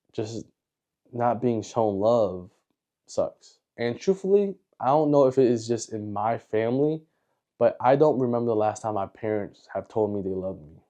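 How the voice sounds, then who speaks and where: A man in his twenties with an American accent, speaking in an earnest, deliberate, reflective way as in a confessional video diary. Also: on the low side, warm tone. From United States. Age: 20s. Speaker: vlogger.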